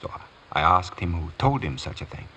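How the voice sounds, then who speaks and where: Deep, solemn valet under oath. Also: male voice, sing-song, pushed and bright, exaggerated voice. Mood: tense, earnest. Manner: earnest, respectful, measured answers. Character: solemn, loyal male valet and war veteran on oath. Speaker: actor playing witness. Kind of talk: 1950s radio courtroom drama acting. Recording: noisy old radio recording.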